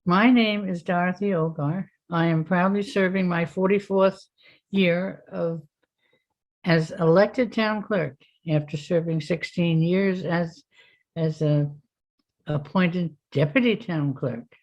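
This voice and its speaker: A woman's voice: lowish voice, slow, darkish tone, muffled recording. An older woman, a town clerk candidate, giving a formal prepared statement, stumbling and repeating words. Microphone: video call.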